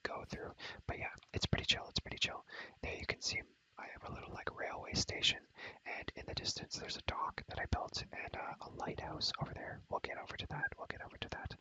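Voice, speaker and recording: Man, breathy whisper, sharp bright hiss, rambling, repetitive, ASMR gaming tour. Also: extremely high pitch, poor audio. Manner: rambling, repeats phrases over and over. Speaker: video creator showing a Minecraft world.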